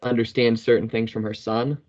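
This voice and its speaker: Man, audience member, casual hesitant talk, mid pitch, dull video call sound. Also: flat delivery, fairly quick, a little mellow.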